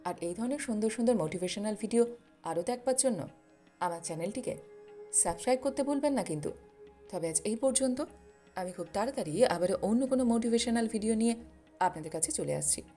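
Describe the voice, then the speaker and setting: A woman's voice: mid-range voice, animated, a little fast, warm tone. Woman narrating over background music. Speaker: storyteller, narrator of a motivational video channel. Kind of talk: scripted moral-story narration.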